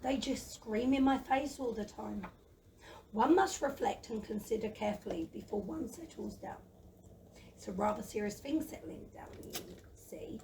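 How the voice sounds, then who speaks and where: Woman voicing a timid, high, creaky dragon. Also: moderate pace. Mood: chatty, confiding. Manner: plaintive, wordy, excitable. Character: gentle, lazy, timid, chatty dragon who loves poetry. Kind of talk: audio play acting for children. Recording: noisy, slightly distant microphone.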